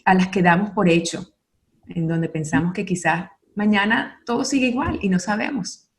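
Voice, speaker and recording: A middle-aged woman from Venezuela, a guest speaking earnestly and thoughtfully in a radio interview, pausing to reflect. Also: medium pitch.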